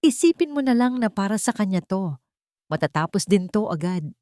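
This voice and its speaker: A woman's voice: mid-range voice, sing-song, moderate pace, a little mellow, no echo, clean studio sound, lightly acted. A woman voicing a scheming, sweet-talking daughter-in-law in a dramatic story, coaxing and bossy.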